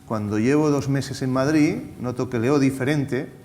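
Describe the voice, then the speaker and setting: A man's voice: medium pitch, moderate pace, a little mellow, slight background noise. A man with a Catalan accent, a theatre director talking on a discussion panel, stumbling and restarting often. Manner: hesitant, many restarts and repeated words. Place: auditorium at a round table. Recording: reverberant hall.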